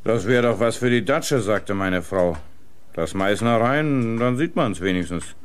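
An actor playing a gruff, plain-spoken master butcher in a German radio crime play, chatting in a grumbling manner. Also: male voice, fairly low pitch, animated, a little slow, slight background noise.

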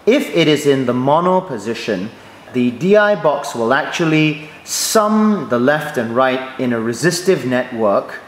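A man giving a step-by-step tutorial on church sound equipment, explaining clearly and methodically. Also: mid-range voice, sing-song, a little slow. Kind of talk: instructional voice-over. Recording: echoey room, faint noise.

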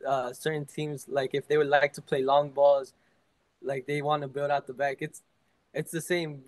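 A teenage high school soccer player answering interview questions over a video call, relaxed and full of "like" and "I mean". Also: male voice, poor audio. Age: teens.

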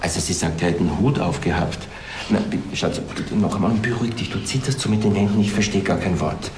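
An actor playing an older cinemagoer who speaks hesitantly and a little testily. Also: male voice, sits low, fast talker, echoey room, background noise, close to an everyday voice. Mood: testy. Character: grey-haired cinemagoer interpreting for his deaf wife.

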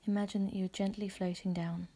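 A woman leading a guided visualisation meditation in a soft, breathy, husky voice, speaking gently and calmly in measured, soothing phrases. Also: mid-range voice.

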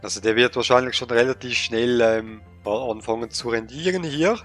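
A man with a cold, thinking aloud with many pauses and fillers while commenting on a game he plays. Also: mid-range voice, fairly bright tone, soft background music. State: has a cold. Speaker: let's play gamer. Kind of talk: casual gameplay commentary.

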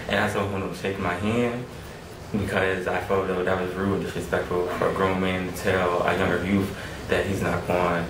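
A young man talking to camera about his own life, rambling with many fillers. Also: low voice. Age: young adult. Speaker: youth program member. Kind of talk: personal testimony to camera. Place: small room against a plain wall. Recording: echoey room, distant mic, background noise.

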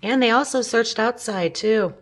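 A woman reading a children's picture book aloud, gently, with asides and questions to young listeners. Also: a little high, moderate pace. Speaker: storybook reader.

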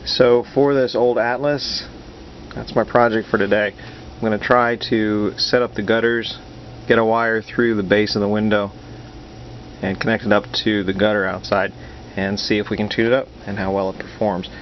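A man's voice: fairly low pitch, flat delivery, moderate pace. Man narrating casually through dull, noisy audio. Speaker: amateur radio hobbyist, video maker. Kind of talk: casual how-to project narration. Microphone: handheld camera's built-in mic. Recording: dull camera-mic audio with clear hiss and hum.

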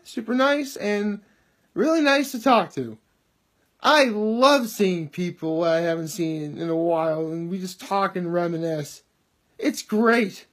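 A young man with a naturally loud, high voice talks straight to his phone camera at arm's length in his living room. He chats in an upbeat, rambling way, full of asides. The feel is an easygoing daily video diary. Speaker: vlogger. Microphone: phone camera held at arm's length.

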